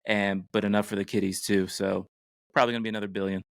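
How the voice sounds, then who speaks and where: A man's voice: fairly low pitch, level tone, fast talker, creaky, no room sound, studio quality. A middle-aged man co-hosting a podcast, giving casual, enthusiastic pop culture commentary in a conversational way. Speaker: podcast co-host.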